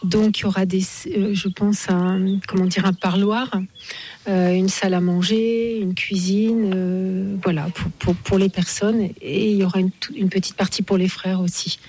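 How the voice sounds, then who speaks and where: A woman's voice: medium pitch, steady tone, moderate pace, mellow. A middle-aged woman from Paris, a guest in a casual outdoor interview at a building site, talking hesitantly with many fillers and restarts.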